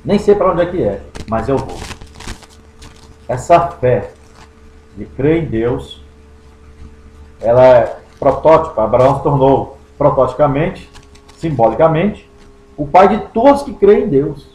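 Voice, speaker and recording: A Brazilian pastor reading scripture aloud and explaining it verse by verse, stressing key words and stretching some out slowly. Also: male voice, medium pitch, sing-song, a little mellow. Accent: Brazilian Portuguese. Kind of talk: Bible study sermon. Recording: echoey room.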